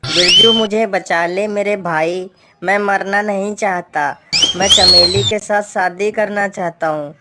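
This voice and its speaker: कलाकार की आवाज़ में घबराया भोला चोर, तेज़ शोर. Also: male voice, sits high, fairly even intonation, measured pace, heavy background noise. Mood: frightened, pleading. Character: simple-minded, timid male thief and hapless sidekick. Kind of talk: animated Hindi moral-story dialogue.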